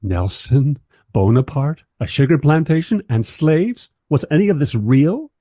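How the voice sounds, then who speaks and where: A man plays a polite traveller telling his story in a radio drama. His voice is rough and husky, with a very lively rise and fall. His manner is wry and slightly formal. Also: fairly high pitch, measured pace. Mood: bemused. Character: wry male traveller narrating his awkward visit. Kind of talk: first-person radio play narration and dialogue.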